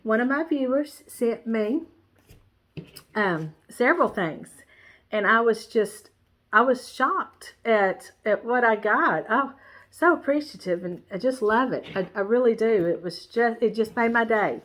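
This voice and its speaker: A woman's voice: a little high, lively intonation, a little slow. A woman with a stuffy, nasal voice, chatting casually about her cross-stitch supplies in a rambling way, with lots of "um"s and restarts. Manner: rambling, warm, many fillers and restarts. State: stuffy, congested nose. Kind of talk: casual show-and-tell chat.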